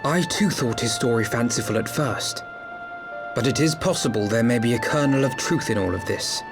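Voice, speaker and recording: A man's voice: mid-range voice, normal speed, lightly acted. An actor playing an earnest young nobleman in a game cutscene, with a formal, archaic and articulate way of speaking. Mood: thoughtful. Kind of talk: dramatic video game cutscene acting. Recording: music under the voice.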